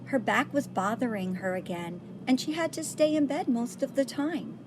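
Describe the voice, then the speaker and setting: A woman reading a children's picture book aloud, pausing to point things out to young listeners. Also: on the high side, noisy background. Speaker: storybook reader.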